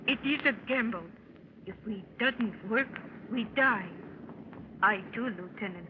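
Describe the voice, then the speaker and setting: An actress playing a cool, daring secret agent in 1930s radio style, speaking in measured, fatalistic short sentences. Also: female voice, on the high side, close to an everyday voice. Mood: grave, resolute. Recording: tinny, very noisy 1930s radio recording.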